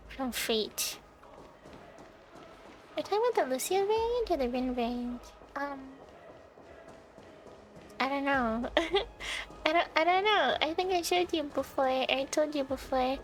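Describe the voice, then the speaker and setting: A woman's voice: sits high, expressive, a little slow, long pauses, slight background noise. A sleepy woman livestreamer reacting to a game and chatting casually with viewers in a playful, teasing manner. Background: game music.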